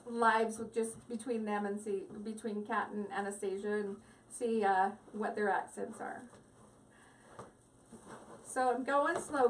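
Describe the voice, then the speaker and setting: A woman's voice: on the high side, far from the mic, a little hiss or hum, poor audio. Giggly woman chatting through a live craft demo. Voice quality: giggly, rambling, talks to viewers. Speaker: craft live-stream host. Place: home craft table, indoors. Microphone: device mic set back from the table.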